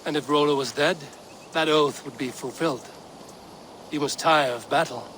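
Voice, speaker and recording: An actor playing a stern Viking warrior questioning suspects in a video game, terse and firm. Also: male voice, on the high side, normal speed, fairly bright tone, slight background noise, lightly acted. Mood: probing. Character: stern, probing Viking warrior investigating a betrayal. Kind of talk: video game dramatic acting.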